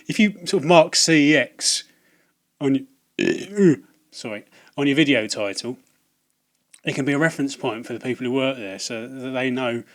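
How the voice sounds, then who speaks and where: Hoarse, hesitant man rambling. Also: medium pitch, a bit bright. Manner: hesitant, lots of ums and restarts, wry asides. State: slightly hoarse. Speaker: video game collecting vlogger. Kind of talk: casual rambling vlog to camera.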